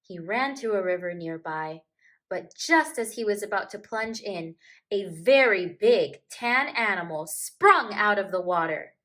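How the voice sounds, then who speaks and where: A woman reads a children's picture book aloud like a teacher. Her voice is bright and forward, and she takes her time with the phrases. The delivery is expressive and emphatic, made for young listeners. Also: medium pitch, unhurried. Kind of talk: animated children's storybook narration.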